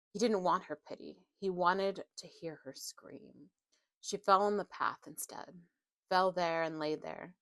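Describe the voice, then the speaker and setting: A woman with a slightly low voice narrates a horror novel. She reads as an audiobook narrator, in a dramatic scripted style. The recording is dry and close, with little room around her. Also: vocal fry, dry recording.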